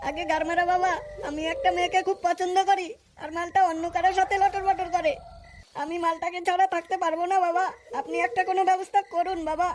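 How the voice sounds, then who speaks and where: A scheming young cartoon man with an extremely high, squeaky pitch. He is crude, excitable and lovesick, with faint noise in the recording. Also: fast. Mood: pleading. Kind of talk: comic cartoon dubbing.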